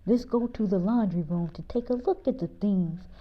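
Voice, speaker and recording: A woman's voice: moderate pace, lightly acted. A woman voicing an affectionate, easygoing father in a children's story read-aloud. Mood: affectionate, interested. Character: affectionate, easygoing father greeting his family. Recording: muffled, low-fidelity audio.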